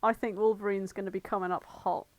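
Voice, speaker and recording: A woman's voice: medium pitch, little pitch movement, fast, no room sound. A female podcast co-host with a mid-range voice in a dry recording. She talks casually and bluntly about fandom, with strong opinions and the odd swear word. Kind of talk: casual chat on a fan podcast.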